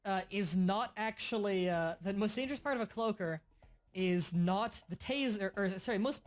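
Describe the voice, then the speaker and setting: A young man hosting a casual gaming livestream, explaining game mechanics in quick bursts and sometimes stuttering. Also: high-pitched. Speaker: livestream host. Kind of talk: casual gaming stream chat.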